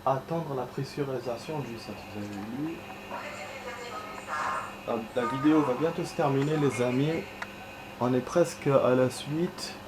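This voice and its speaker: A man's voice: mid-range voice, a little slow, pauses often. A man giving casual, rambling gameplay commentary, swearing now and then and talking to his viewers as friends. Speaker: gameplay streamer. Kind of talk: casual let's-play commentary. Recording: echoey room with clear background noise.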